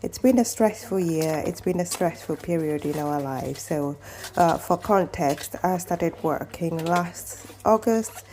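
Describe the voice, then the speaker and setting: A woman's voice: fairly low pitch, unhurried, mellow. A woman from Kenya chatting casually to camera, telling a long story with restarts and asides. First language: mother tongue other than English, also Swahili. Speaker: vlogger.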